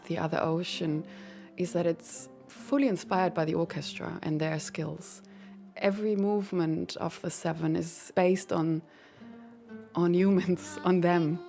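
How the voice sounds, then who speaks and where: A woman's voice: on the low side, darkish tone. A woman composer from Spain who grew up in Germany, speaking with a German accent in an informal interview.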